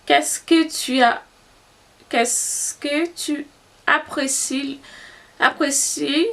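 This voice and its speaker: A woman's voice: sits high, fairly even intonation, drawn-out words, gravelly, fairly bright tone. A young woman from Haiti with a Haitian accent, Haitian Creole native, chatting casually to camera and thinking aloud as she answers questions. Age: young adult. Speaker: YouTuber.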